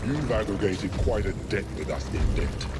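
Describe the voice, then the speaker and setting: A man's voice: on the low side, expressive, moderate pace, loud music behind the voice, lightly acted. A man voicing a menacing, sneering mercenary debt collector in a video game, with theatrical delivery. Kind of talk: video game villain acting.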